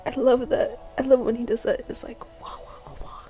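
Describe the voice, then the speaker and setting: A young woman doing girlfriend ASMR roleplay in a soft, sleepy voice that often drops to a whisper, murmuring affectionately and drowsily. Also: on the high side, flat delivery, fairly quick, faint music behind. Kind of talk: ASMR girlfriend roleplay, casual intimate chat.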